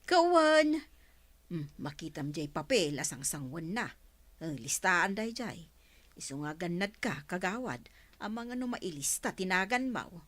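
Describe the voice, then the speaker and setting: A woman's voice: fairly low pitch, sing-song, unhurried, fairly bright tone, dry recording, exaggerated voice. A woman with an Ilocano accent playing a wary, gossip-loving village councilwoman in a radio comedy drama. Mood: hushed warning.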